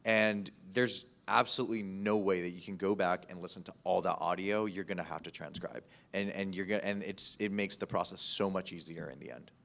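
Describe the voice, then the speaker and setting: A man's voice: low-pitched, fairly even intonation, a little fast, gravelly, few pauses, fairly bright tone. A man on a panel giving practical advice as an audio producer.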